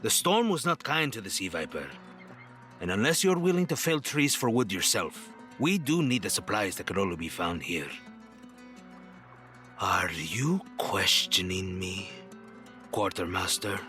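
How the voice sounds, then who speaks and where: A man's voice: low-pitched, expressive, sharp tone, soft background music, exaggerated voice. A man playing a stern, weary and imperious pirate captain in an audio drama. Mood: stern menace. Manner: deliberate, imperious.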